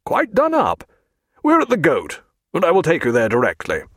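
A man's voice: fairly high pitch, wildly swooping pitch, normal speed, a bit bright, no room sound, clean studio sound, voice pushed up, exaggerated voice. A man voicing a hot-tempered naval captain with a strong, commanding, blustering delivery, in an audiobook. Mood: furious.

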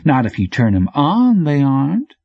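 A man's voice: fairly high pitch, wildly swooping pitch, moderate pace, vocal fry, no room sound, dull audio, pitched above the performer's own voice, full character voice. A man voicing a brash, teasing bike courier with slangy, clipped, needling talk in an audiobook. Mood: explaining, amused. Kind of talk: audiobook character dialogue.

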